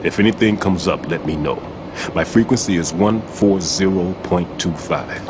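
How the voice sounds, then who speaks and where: A very deep-voiced video game bomb expert played by a man speaks with grave, measured seriousness. He explains like a seasoned specialist, over clear background noise. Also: natural voice. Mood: measured, businesslike. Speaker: demolition expert.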